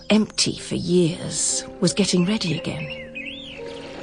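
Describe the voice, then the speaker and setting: A woman's voice: on the low side, a little mellow. A woman with a British accent reading literary, scripted narration for a radio drama.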